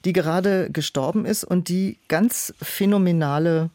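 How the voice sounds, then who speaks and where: A woman's voice: on the low side, measured pace, dark tone, dry recording. A woman speaking as an interview guest, explaining things in a considered way about her work as a producer. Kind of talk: interview conversation.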